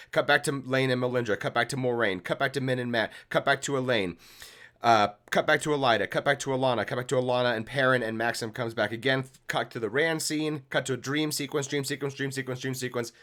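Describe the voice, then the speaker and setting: A man's voice: medium pitch, a bit bright. A man co-hosting a TV reaction show, giving casual, opinionated commentary in an emphatic, exasperated manner. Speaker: co-host of a TV episode reaction show. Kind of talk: casual opinionated TV review chat.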